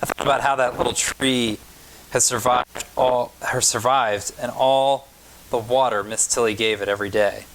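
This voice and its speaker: A man with a medium-pitched voice reads to children as a pastor would at story time. His pace is slow and deliberate, with pauses between phrases. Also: fairly bright tone, rough recording. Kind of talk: storybook read-aloud with informal remarks to children.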